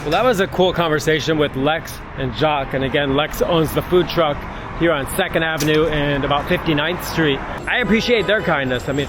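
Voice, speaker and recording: A man hosting a casual street vlog and interviewing locals outdoors in the city. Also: a little high. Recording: noisy city street with traffic.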